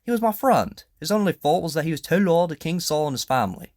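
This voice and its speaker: A man's voice: on the high side, expressive, quick pace, a little mellow, no room sound, studio quality, voice pushed up. A man acting a proud, earnest king in an audio drama, speaking formally and emphatically with indignation and pleading.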